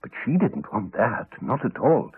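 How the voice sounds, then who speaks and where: A haunted man in an eighties radio play speaks through a narrow, phone-like recording. His voice is very husky, and his pitch moves a great deal as he haltingly confides, trailing off into pauses. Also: very animated, full character voice. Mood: haunted, hushed. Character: Alex, haunted man haltingly recounting a horror. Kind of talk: 1980s radio drama acting.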